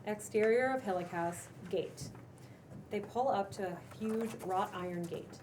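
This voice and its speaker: A woman's voice: mid-range voice, unhurried. A woman reading stage directions as scripted narration at a table read. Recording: distant mic, loud room noise.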